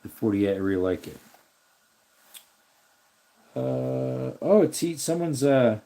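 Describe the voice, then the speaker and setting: A man with a slightly raspy voice hosts a relaxed live stream. He rambles and thinks aloud as he answers his viewers' comments. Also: mid-range voice, slow pace. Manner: rambling, thinks aloud while looking things up.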